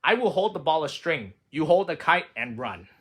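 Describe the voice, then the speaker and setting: A calm, kindly frog, voiced by a man reading aloud, who speaks gently and encouragingly. The voice stays fairly bright and lively, only a little above the reader's own. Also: on the high side, normal speed, husky, heavily acted. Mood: patient.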